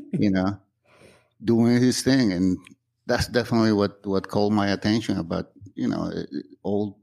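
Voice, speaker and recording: A man from Guatemala talks casually in an interview, soft-spoken with small laughs. His voice sits fairly low and has a gravelly edge. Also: fairly even intonation, a little slow. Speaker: bass player in a band.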